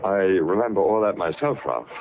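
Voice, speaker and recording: An actor playing a level-headed, sceptical confidant with measured, probing delivery in 1940s radio drama. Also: male voice, deep voice, very animated, vocal fry, full character voice. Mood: probing, sober. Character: level-headed, sceptical male confidant questioning a troubled friend. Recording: muffled old radio recording with music.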